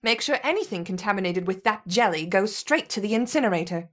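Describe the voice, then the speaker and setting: An actress playing a stern, businesslike research supervisor in a video game, speaking curtly and with command. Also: female voice, mid-range voice, lively intonation, fairly quick, natural voice. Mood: brisk, commanding. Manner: curt, commanding. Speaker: actor voicing a game character. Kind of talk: scripted video game dialogue.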